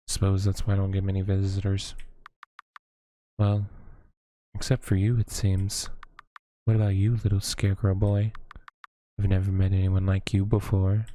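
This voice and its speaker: A man voicing a lonely, motherly witch woman who lives alone in the woods, speaking gently and hesitantly with sighs. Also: on the low side, a little hiss or hum, natural voice. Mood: gentle. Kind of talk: audio roleplay acting.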